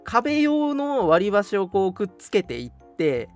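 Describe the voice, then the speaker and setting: A radio host chatting casually and telling stories in an animated, emphatic, colloquial way. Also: mid-range voice, unhurried. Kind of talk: casual chat, storytelling. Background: background music.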